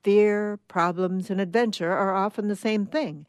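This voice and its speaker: A woman's voice: mid-range voice, steady tone, a little slow, no echo, studio quality, close to an everyday voice. A woman voicing a reflective, hopeful survivor about to leave Earth, earnest, in a short story reading.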